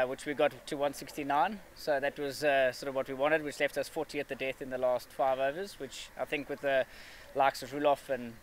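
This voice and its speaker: A man in his thirties answering questions after a match, measured and steady, with frequent fillers and restarts. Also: medium pitch, a little hiss or hum. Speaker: cricket player at a press conference. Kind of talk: post-match press interview. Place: outdoor press area with a backdrop.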